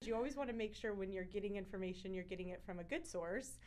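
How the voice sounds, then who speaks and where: A woman hosts a real estate interview with lots of enthusiasm. She is warmly effusive toward her guest, and her pitch sits in the middle range. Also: fast talker, slight background noise. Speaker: real estate show host. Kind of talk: interview hosting.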